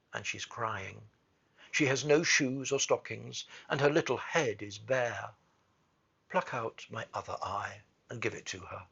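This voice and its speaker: A man voicing a compassionate male prince statue in a storybook, speaking quietly and solemnly, now and then commanding. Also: medium pitch, gravelly, breathy edge, highs cut off, heavily acted.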